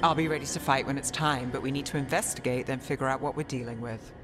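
A woman voicing a wounded, cautious woman mage who speaks in a measured, skeptical way, in game dialogue. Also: very deep voice, fairly even intonation. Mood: wary. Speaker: party companion. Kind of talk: video game voice acting. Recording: game dialogue with clear music, long reverb.

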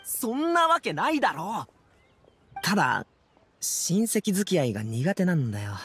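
A man voicing an energetic, good-natured young man in an anime game, talking in a casual, friendly, chatty way. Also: high-pitched, breathy edge, exaggerated voice. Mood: uneasy, reluctant. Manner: casual, brisk, friendly boyish speech.

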